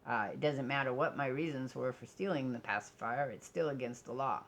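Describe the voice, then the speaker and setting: Really deep voiced lady doing a casual vlog, blunt, kinda halting, thinks out loud and puts herself down. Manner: candid, self-deprecating, blunt. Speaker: vlogger. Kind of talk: casual rambling personal vlog.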